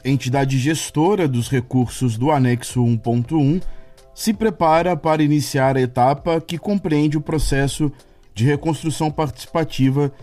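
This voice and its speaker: A man's voice: a little high, mellow. A man reading a scripted informational announcement as an announcer. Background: music.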